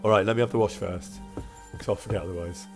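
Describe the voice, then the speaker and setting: Husky chatty streamer man. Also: deep voice, steady tone, quick pace, faint music behind. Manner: chatty, self-deprecating, chats with viewers. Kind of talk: casual gameplay commentary.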